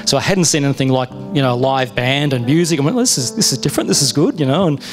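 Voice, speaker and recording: A man's voice: medium pitch, animated, fast talker, over music. A middle-aged man from Australia with an Australian accent, sharing a personal testimony on a church stage in a chatty, storytelling way that is full of restarts, speaking into a handheld mic. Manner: conversational storytelling with self-interruptions. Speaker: guest speaker.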